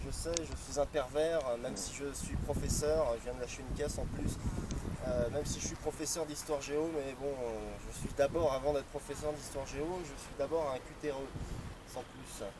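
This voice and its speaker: A man plays a middle-aged schoolteacher who rambles, stumbles over his words and fills every pause with hesitations. He talks in a slightly raised voice over loud outdoor noise. He is a sheepish, pervy sort who is not ashamed of it. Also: fairly high pitch, natural voice. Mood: defensive. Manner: rambling, hesitant, full of fillers. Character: bumbling pervy male teacher, middle-aged.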